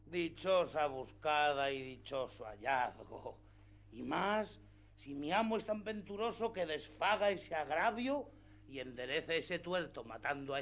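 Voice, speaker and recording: A simple-minded, credulous peasant squire from a classic radio drama. His voice sits a little high for a man, and he rambles on in earnest, pleading eagerness. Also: a bit bright. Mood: delighted, hopeful. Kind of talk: radio theatre acting of a classic novel.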